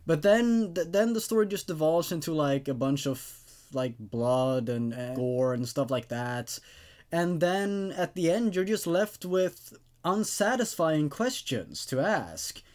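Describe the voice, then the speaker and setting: Expressive man reading and reviewing horror stories. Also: a little high, measured pace. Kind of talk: dramatic story reading and casual review commentary.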